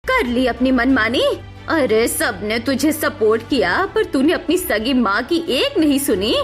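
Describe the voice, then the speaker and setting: A woman's voice: high voice, animated, a little slow, faint music behind. A woman voicing a scheming, scornful middle-aged mother-in-law in a cartoon, scolding melodramatically. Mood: outraged, scornful.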